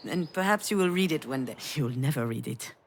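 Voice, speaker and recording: A grieving, tender mother with a low voice, speaking in a confiding, reflective way. She hesitates as she opens up, in the manner of a dramatic video game monologue. Clear background ambience sits behind her. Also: animated, brisk, slight background noise. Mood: gentle, storytelling.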